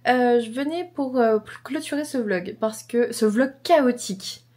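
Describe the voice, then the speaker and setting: A woman's voice: on the high side, unhurried, darkish tone. A young woman with a slight cold, chatting casually and enthusiastically in her vlog, rambling and repeating herself. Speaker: book vlogger.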